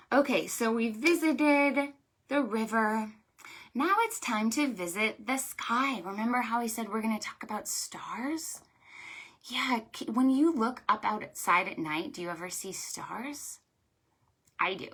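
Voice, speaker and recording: Slow, bright-voiced woman leading preschool storytime. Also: mid-range voice, husky. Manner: sing-song, exaggerated delivery for small children; encouraging, asking questions and pausing for answers. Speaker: children's librarian hosting preschool storytime. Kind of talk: interactive storytime for young children, counting rhymes.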